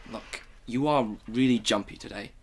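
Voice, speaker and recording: A blustering middle-aged husband in a radio play, played by a woman, with a very low, deep voice. He presses for answers and grows more insistent. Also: unhurried, breathy edge, exaggerated voice. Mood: worried. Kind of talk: student radio drama acting.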